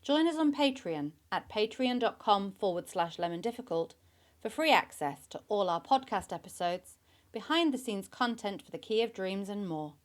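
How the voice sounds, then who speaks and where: A woman's voice: medium pitch, lively intonation, normal speed. A woman hosting a podcast, delivering a scripted introduction. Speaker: podcast host. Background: clear background music.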